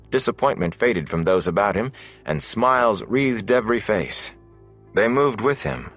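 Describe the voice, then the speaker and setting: A man reading a fantasy audiobook as its narrator, in a measured, deliberate, dramatic storytelling delivery. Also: on the low side, fairly bright tone.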